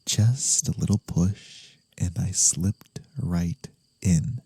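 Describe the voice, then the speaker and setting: A playful, theatrical incubus, voiced by a man in a soft-spoken fantasy ASMR roleplay. The voice is dark, husky and close with very little room around it. He teases slowly and breathily, sinking at times into a whisper. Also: sits low, no echo, heavily acted. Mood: teasing, sly. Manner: breathy, teasing, drifting into whispers. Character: male incubus demon, teasing, theatrical yet wholesome.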